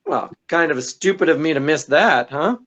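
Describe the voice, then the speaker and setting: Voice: mid-range voice, lively intonation, moderate pace, fairly bright tone. An actor playing a wisecracking, unflappable male amateur detective in 1940s radio style, with a droll, teasing, hesitant delivery. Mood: wry, amused. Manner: droll, sardonic, chuckling hesitations.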